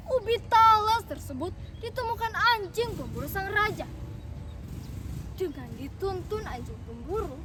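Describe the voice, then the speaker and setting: A woman from Indonesia telling a folktale in a theatrical, declamatory way with deliberate pauses. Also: very high voice. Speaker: storyteller. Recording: distant microphone, noisy background.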